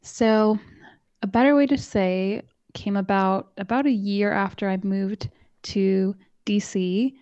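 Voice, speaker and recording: A soft-spoken woman talking calmly and evenly as a guest in a casual interview. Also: medium pitch, clean tone, no room sound, highs cut off. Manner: calm, measured, soothing. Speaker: podcast host and guest.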